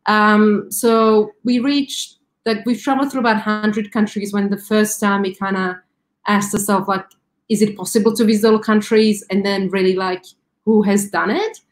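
A woman's voice: mid-range voice, steady tone, normal speed. Guest: woman with Slovak accent, friendly and explanatory, webcam video call. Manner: friendly, explaining with many "like" fillers. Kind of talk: casual interview chat.

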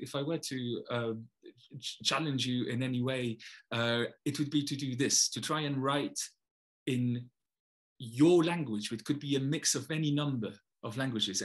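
A man in his thirties with a Polish accent, a poet talking casually to a webcam from his home study, thoughtful and full of hesitations and restarts. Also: mid-range voice. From Poland. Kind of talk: casual talk to camera. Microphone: webcam, video call framing.

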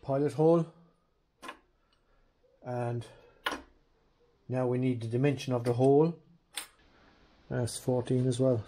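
A man's voice: medium pitch, slow pace, long pauses, slight background noise. A man doing casual how-to narration in his garage workshop, thinking aloud as he works and calling viewers guys. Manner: relaxed, thinking aloud while working, addresses viewers as guys. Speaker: restoration vlogger.

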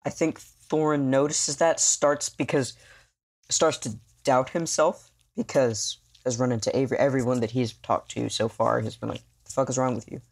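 A player narrating a tabletop role-playing game in halting, thoughtful, improvised phrases. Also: medium pitch, steady tone, vocal fry.

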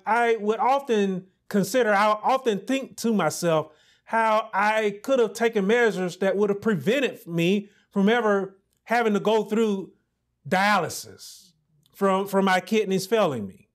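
A man in his thirties preaching a sermon as pastor, building to emphatic repeated lines with deliberate pauses, recorded on a lapel mic in a small church room. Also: high-pitched, animated. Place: small indoor church office set with pulpit.

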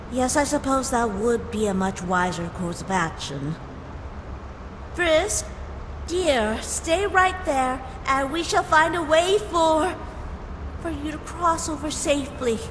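A woman plays a motherly goat-monster queen, gentle but fretful. Her very high voice moves slowly and formally, in a long reverb, in a dramatic comic dub. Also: expressive. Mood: anxious, soothing. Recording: reverberant hall with background noise.

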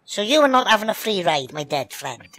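A Welsh man rants at other players over game chat, his voice pitched high and swinging widely. He scolds in an indignant, sweary way. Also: very animated, fairly quick, sharp tone, low-quality recording. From Wales. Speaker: gamer in online voice chat. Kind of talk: comedic in-game trolling rant.

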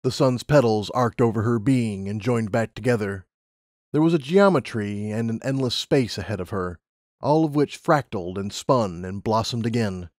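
A man's voice: fairly low pitch, moderate pace, a little mellow, dry recording. A man's voice you would hear reading an audiobook chapter through headphones, close and dry. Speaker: audiobook narrator. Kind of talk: scripted story narration.

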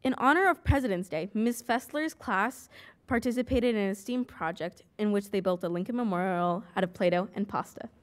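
A woman's voice: medium pitch, brisk. Young girl reading a school report. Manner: reads aloud from a script, even and careful. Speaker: student council president. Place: large meeting hall with rows of seats.

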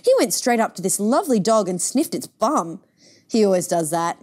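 A woman's voice: mid-range voice, very animated, normal speed, darkish tone. Woman reading as a chatty, blunt little girl. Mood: cheerful. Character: cheerful, blunt, easygoing young girl. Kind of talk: picture book read-aloud.

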